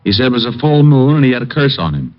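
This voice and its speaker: An actor playing a hard-boiled, skeptical private eye with a wry, slangy delivery in an old radio noir drama. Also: male voice, medium pitch, big pitch swings, quick pace, natural voice. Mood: narrating, hard-boiled. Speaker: private detective lead and narrator. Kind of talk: 1940s radio noir acting and narration. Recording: dull vintage radio recording.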